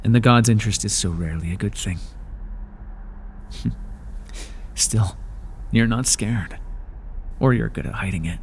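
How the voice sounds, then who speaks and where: A man's voice: fairly low pitch, a little slow, pauses often, slight background noise. A man playing an aloof, lonely god of the underworld in an ASMR roleplay, talking in a dry, languid and sardonic way.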